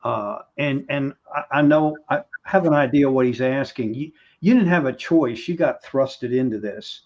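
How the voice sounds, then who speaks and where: A man's voice: medium pitch, moderate pace, a little mellow. A man hosting a live-stream interview, asking long rambling questions with restarts. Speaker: host. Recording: dull lo-fi sound.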